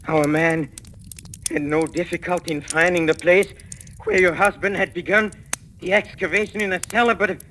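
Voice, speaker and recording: A man's voice: high voice, steady tone, normal speed, a bit bright, a little hiss or hum, low-quality recording. An actor playing a furtive professor with a guilty secret in 1940s radio suspense style, hesitant and stammering in his speech. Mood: mounting dread. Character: furtive professor, nervously jolly, haunted wife-killer. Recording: vintage radio recording, music underneath.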